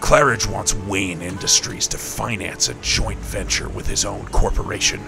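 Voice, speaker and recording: A grim vigilante hero, performed by a man speaks in a deep, very gravelly voice. He is terse, controlled and brooding, in a comic-book audio drama with music underneath. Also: moderate pace. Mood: brooding, disdainful. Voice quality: low, gravelly.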